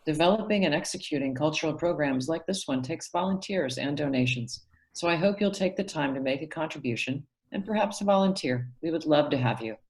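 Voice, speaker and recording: A woman's voice: sits low, lively intonation, brisk, darkish tone, rough recording. A woman hosting a show, giving a polite scripted appeal directly to viewers. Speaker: host making a donation appeal.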